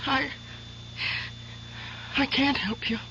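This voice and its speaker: An actress playing an anxious, soothing wife in a radio drama, tender and fretful. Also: female voice, sits high, fairly even intonation, very slow, pushed and bright, full character voice. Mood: worried. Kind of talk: 1970s radio drama acting. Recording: dull, noisy old radio recording with hum.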